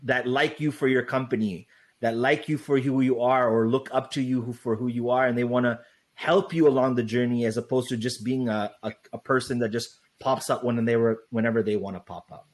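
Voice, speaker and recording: Man, young adult, loud voice, loose rambling chat, filler-heavy. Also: medium pitch, fairly even intonation, brisk. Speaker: guest. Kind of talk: casual interview chat.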